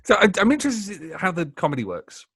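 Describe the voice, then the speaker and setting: A man's voice: high voice, huge pitch swings, quick pace, a bit bright, breathy edge, dry recording. Hesitant man interviewing, very dry sound. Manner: hesitant, circling towards his questions. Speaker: podcast interviewer. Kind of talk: casual interview questioning.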